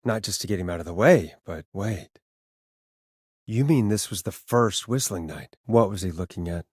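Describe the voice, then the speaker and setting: A man playing an earnest, rattled radio host in a video game, speaking in a halting, conversational way as he reasons with a killer. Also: low voice, animated, a little mellow, breathy edge, dry recording, studio quality. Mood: grave, piecing together. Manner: halting, stammering, conversational delivery. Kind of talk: dramatic video game voice acting.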